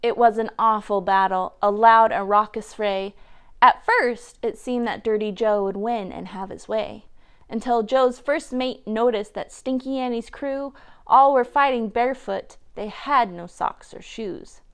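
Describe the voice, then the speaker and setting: A woman reading a rhyming picture book aloud for children in an expressive, dramatic storytelling manner. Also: fairly high pitch, unhurried, smooth. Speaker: library storytime reader.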